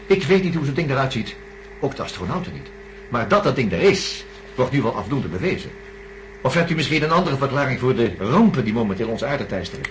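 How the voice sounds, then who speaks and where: A man voicing a patient radio-play doctor who explains his reasoning at length. His voice rises and falls a lot as he lays out each point. There is clear noise under the recording. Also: medium pitch, big pitch swings, brisk, natural voice. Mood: earnest. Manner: explanatory, earnest. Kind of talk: radio drama acting.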